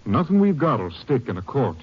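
An actor playing a practical, sceptical Texas Ranger captain in an old-time radio western, emphatic, with an American accent. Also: male voice, medium pitch, very animated, normal speed, a little hiss or hum, natural voice. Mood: businesslike, sceptical. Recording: muffled vintage radio recording.

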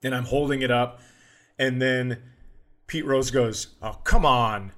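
A man's voice: mid-range voice, steady tone, takes it slowly, fairly bright tone. Wry man swapping casual comic anecdotes. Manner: wry, swearing, quotes dialogue. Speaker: comedy podcast co-host. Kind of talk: casual comedic storytelling.

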